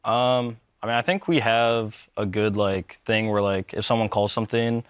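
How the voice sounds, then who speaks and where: A man's voice: fairly low pitch, normal speed, clear, resonant tone, dry recording, studio quality. Chill young man rambling through fillers. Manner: relaxed, rambling with many fillers. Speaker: pro esports player. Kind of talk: post-match interview.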